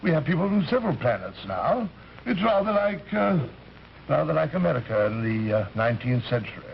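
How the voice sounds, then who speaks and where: A man's voice: on the high side, very animated, fairly quick, gravelly. An actor in an old radio drama playing an urbane alien recruiter, polite and pompous, hesitating and rambling as he talks. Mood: patient explaining. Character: urbane, genteel alien recruiter posing as an Earthman. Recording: muffled, hissy old radio recording.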